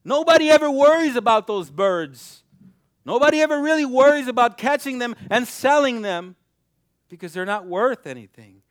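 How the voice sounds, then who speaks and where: A young adult man preaching a sermon, swinging from loud, shouted exhortation to quiet, intimate passages. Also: very high voice, expressive, normal speed, dry recording.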